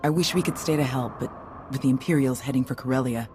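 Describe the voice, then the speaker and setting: An actor playing a sober, practical military officer with a businesslike delivery. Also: male voice, medium pitch, fast, natural voice. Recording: game music behind the voice.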